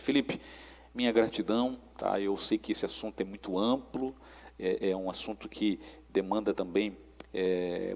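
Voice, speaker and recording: Gravelly Brazilian man in a reverberant space. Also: deep voice, a little mellow, slight background noise. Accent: Brazilian Portuguese. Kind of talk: preacherly conversational interview. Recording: long echoing reverb.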